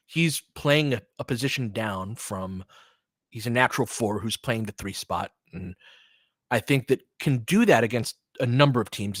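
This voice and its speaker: A man with a medium-pitched voice hosts live basketball commentary. He moves between calling the action and casual analysis in an informal, fan-like way. The sound is close and dry, with hardly any room on it. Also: fairly bright tone, no echo. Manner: conversational, informal, fan-like reactions to plays. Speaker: host and play-by-play commentator.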